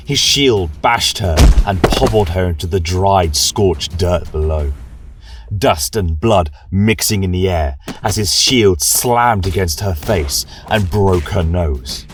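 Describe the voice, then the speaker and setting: A man's voice: low voice, recorded from a distance. A man narrating a scripted fantasy tale in a solemn, dramatic style as a podcast storyteller.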